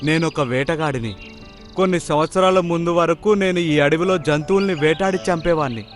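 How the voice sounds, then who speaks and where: A man's voice: fairly high pitch, close to an everyday voice. A man voicing a brave, kind, fatherly crocodile in a children's cartoon, speaking earnestly. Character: brave kind blue crocodile, cursed hunter, fatherly protector. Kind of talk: Telugu children's cartoon voice acting.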